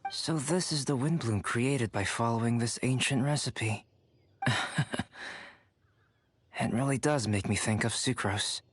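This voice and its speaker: A man voicing a calm, scholarly young alchemist in a video game, speaking in a composed, polite and measured way. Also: mid-range voice, husky. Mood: quietly pleased.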